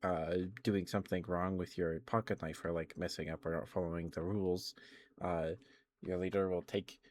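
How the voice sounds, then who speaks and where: A man hosting an ASMR livestream, speaking softly and intimately and slipping into whispers. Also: low voice, fairly even intonation, normal speed, slight background noise.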